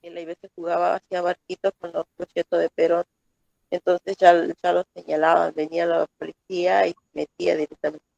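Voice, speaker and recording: A woman student, describing her interview work in a halting, unsure way. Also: lowish voice, flat delivery, creaky, a little mellow, no room sound. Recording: dull, muffled audio.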